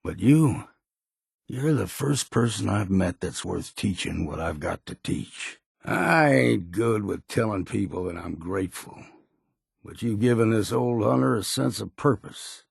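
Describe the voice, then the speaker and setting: A weathered old hunter speaks with a deeply creaky, raspy voice. He is blunt, proud and weary, a game character with a folksy, plain-spoken drawl. The sound is very dry, with no room around him. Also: animated, a little slow, no echo, exaggerated voice. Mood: heartfelt, regretful. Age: older. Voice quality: gravelly, husky. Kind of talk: video game character dialogue.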